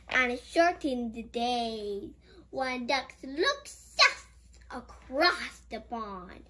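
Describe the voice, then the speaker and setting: A woman's voice: high-pitched, fairly bright tone. A female host reading a picture book aloud for children, adding playful comments in a childlike, exclamatory, simple sing-song manner.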